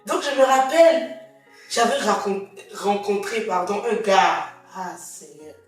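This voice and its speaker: A woman's voice: mid-range voice, animated, a little slow. A woman co-hosting a casual chat podcast, telling stories animatedly with laughter. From Ivory Coast heritage. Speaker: podcast co-host. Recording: echoey room from far off, soft music.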